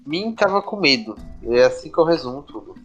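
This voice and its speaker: A man's voice: fairly high pitch, big pitch swings, brisk, muffled recording, over music. A Brazilian man chatting casually with friends in a joking, informal way as a podcast co-host. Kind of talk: casual chat among friends.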